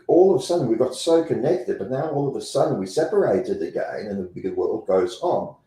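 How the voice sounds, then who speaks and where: A man's voice: mid-range voice, expressive, brisk, dark tone, roomy sound, rough recording. A man with a South African accent, talking as a guest in an interview in an emphatic way and repeating phrases for effect. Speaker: guest, company president. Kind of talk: interview conversation.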